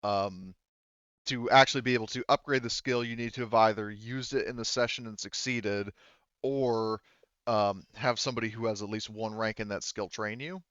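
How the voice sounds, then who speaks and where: A man's voice: lowish voice, steady tone, moderate pace, a bit bright, smooth, no room sound, dull audio. A man speaking casually and informally as a game master, explaining tabletop roleplaying rules.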